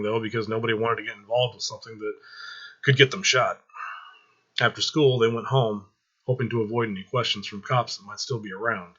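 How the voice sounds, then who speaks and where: A man's voice: mid-range voice, sharp tone, slightly rough, dull audio. A man narrating a written story aloud as a storyteller.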